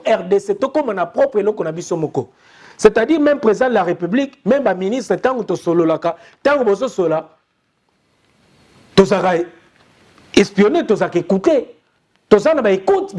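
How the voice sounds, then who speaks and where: A man's voice: sits high, darkish tone. A young man with a Congolese accent giving urgent, insistent news commentary and repeating his appeals to listeners. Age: young adult. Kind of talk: impassioned commentary.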